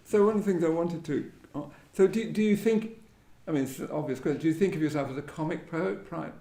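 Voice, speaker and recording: A man's voice: on the high side, fast, vocal fry, warm tone. A man hosting a live literary interview on stage, asking and commenting in a musing, hesitant way. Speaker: event host and interviewer. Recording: echoey room.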